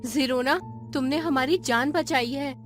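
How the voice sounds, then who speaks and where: A woman playing a determined, earnest space explorer in an animated story. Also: sits high, measured pace. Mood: grateful. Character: determined female space explorer leading her team. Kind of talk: acted story dialogue. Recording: music behind the voice. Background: music.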